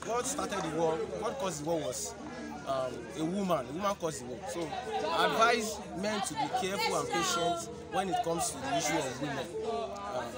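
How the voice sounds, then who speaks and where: Young actor guy interviewed outside, lightish voice, halting, loud crowd. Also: high voice, sing-song. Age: young adult. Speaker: student stage actor. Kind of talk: on-camera interview answers. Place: open outdoor yard among crowd. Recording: loud crowd chatter outdoors.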